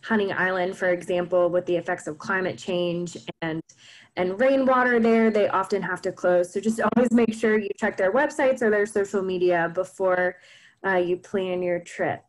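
A woman's voice: fairly low pitch, normal speed, low-quality recording. A woman co-hosting a webinar over a video call, reading out audience questions and adding her own comments. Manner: plain, reads questions then adds comments.

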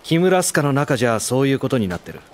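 Casting: loyal, laid-back young guy for anime drama male actor, mid-range voice with lively intonation. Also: mid-range voice, fast, close to an everyday voice. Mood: grave storytelling. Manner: casual, frank masculine speech. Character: easygoing, loyal, brotherly young man. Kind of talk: Japanese anime audio drama acting.

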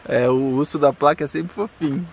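A man's voice: fairly high pitch, fairly quick, vocal fry, slight background noise. A man with a Brazilian Portuguese accent, chatting casually as he films a travel vlog outdoors, mixing in English phrases. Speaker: travel vlogger. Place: outdoors by a mountain lake and forest trail.

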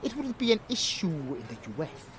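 A man's voice: high voice, big pitch swings, fairly quick, slight background noise. A man playing a flamboyant, genteel and smug vampire lord in an audio drama, with a theatrical, purring, condescending manner.

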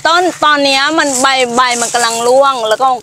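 A middle-aged woman giving an unhurried, explanatory walk around her farm, pointing out trees and their uses, with hesitant fillers. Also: high voice, steady tone, sharp tone, a little hiss or hum. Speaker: farm owner showing trees. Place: outdoors on a farm among trees.

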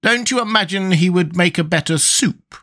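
A man's voice: high-pitched, normal speed, sharp tone, pitched above the performer's own voice. A man voicing a courteous, reasonable man made of tin in a children's storybook.

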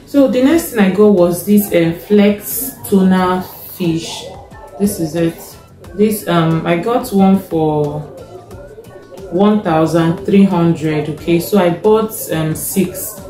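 A young Nigerian woman with a Nigerian accent, casually showing her grocery haul, taking her time, checking a receipt and often pausing with fillers. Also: on the low side, warm tone. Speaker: vlogger. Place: home kitchen, indoors. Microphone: fixed camera in front. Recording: echoey kitchen, faint music.

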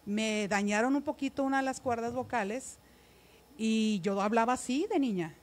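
A middle-aged woman with a northern Mexican accent, telling stories in a casual, animated, expressive way into a handheld microphone. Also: a little high, normal speed. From Ciudad Obregón, Mexico. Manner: animated, expressive, often quoting others. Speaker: interview guest, radio announcer. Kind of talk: casual chatty storytelling. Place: small decorated studio. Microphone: handheld microphone held close.

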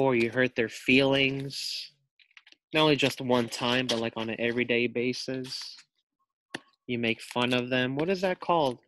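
A man reading a children's picture book aloud as a teacher, expressively, pausing to put questions to his young listeners. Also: mid-range voice, a bit bright.

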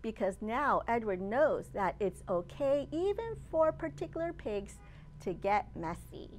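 Woman in her thirties, high-pitched, slow, expressive storytime delivery, children's librarian, dramatic emphasis. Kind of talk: animated read-aloud of a picture book for young children. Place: open library children's area, indoors.

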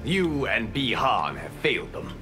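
An earnest, righteous monk champion speaks slowly and firmly. His tone is bright and forceful. Faint game music sits behind him. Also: medium pitch, sing-song. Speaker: fighting game character.

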